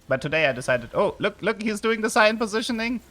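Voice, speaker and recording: Lively German-accented man streaming. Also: high-pitched, fast talker, faint music behind. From southern Germany. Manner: chatty, with sudden excited outbursts. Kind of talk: live game commentary and chat with viewers.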